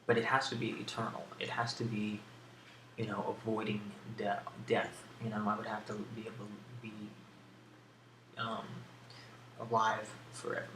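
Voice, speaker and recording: A soft-spoken man answering questions in a casual ASMR style, with a hesitant, musing delivery and pauses between thoughts. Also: on the low side, monotone. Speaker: ASMR video creator answering a question tag. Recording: roomy echo with faint background hiss.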